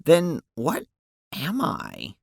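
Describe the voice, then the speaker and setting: A woman acts out an anguished, blunt teenage sprite girl in an audio drama. Her voice swings dramatically in pitch and often drags slowly into a gravelly creak. She speaks haltingly and with open emotion, recorded close and dry. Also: huge pitch swings, dry recording, heavily acted. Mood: distressed, miserable.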